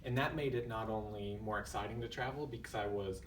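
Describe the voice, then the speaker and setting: A man in his twenties from the United States, talking as an interview guest in a thoughtful, measured way with frequent fillers. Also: on the low side, echoey room, far from the mic, a little hiss or hum. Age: 20s. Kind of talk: casual interview.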